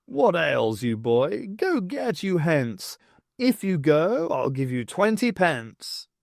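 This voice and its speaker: Man as a high-pitched, bossy pantomime king. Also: very animated, slow, vocal fry, dry recording, clean studio sound, full character voice. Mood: cross. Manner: declamatory. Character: cross, bossy pantomime king speaking in rhyme.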